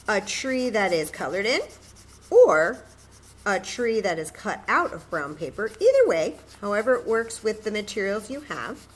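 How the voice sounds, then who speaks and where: A woman's voice: medium pitch, low-quality recording. A woman hosting a children's story time, patiently and encouragingly demonstrating a craft step by step in simple, casual words.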